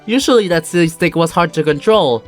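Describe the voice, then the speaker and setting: Lively storybook narrator with animated swings. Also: mid-range voice, fairly quick. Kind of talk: storybook narration read aloud for a fan dub. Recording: music behind the voice.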